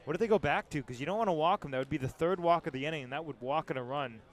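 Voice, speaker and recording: A man's voice: on the high side, rapid-fire. A man giving live analysis as the color commentator on a baseball radio broadcast.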